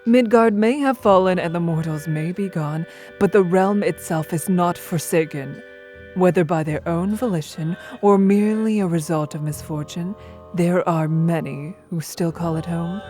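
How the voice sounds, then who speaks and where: A woman playing a commanding, tender yet scheming goddess queen in a dramatic audio drama, speaking in a measured, persuasive way. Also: fairly low pitch, a little mellow, faint music behind, lightly acted. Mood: ambitious, resolute.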